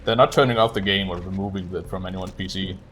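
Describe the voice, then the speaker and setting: A man's voice: low voice, fairly even intonation, fast talker, fairly bright tone, slight background noise. A man doing casual live commentary while playing a game, chatting to viewers as he goes. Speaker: speedrunner streaming live.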